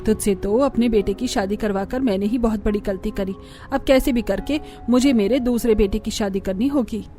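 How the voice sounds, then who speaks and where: A woman's voice: medium pitch, normal speed, mellow, soft background music, close to an everyday voice. A woman voicing a scolding, exasperated middle-aged mother-in-law in a Hindi cartoon family story. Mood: scolding, annoyed. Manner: nagging, exclamatory.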